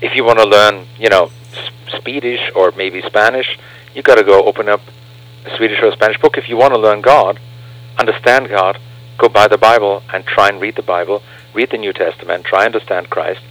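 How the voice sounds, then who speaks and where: Earnest man, low and dry. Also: fairly even intonation, fairly quick, a bit bright, no echo, rough recording. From Austria. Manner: earnest, explains with rhetorical questions. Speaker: guest. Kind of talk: radio interview.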